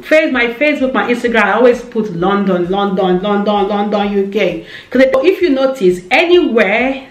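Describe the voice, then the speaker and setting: A woman in her thirties with a Nigerian accent, chatting casually to camera about business, animated and repeating herself for emphasis. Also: mid-range voice, moderate pace, few pauses. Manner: animated, repeats phrases, gestures a lot with hands. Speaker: vlogger. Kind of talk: casual business advice chat. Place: indoor room with shelves. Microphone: camera in front, seated. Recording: roomy echo.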